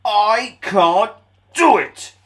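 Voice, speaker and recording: A man voicing a big, proud, grumbling steam engine for a children's storybook, with pompous, drawn-out speech. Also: animated, a bit bright, full character voice. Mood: straining, grumbling. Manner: pompous, booming, drawn-out delivery.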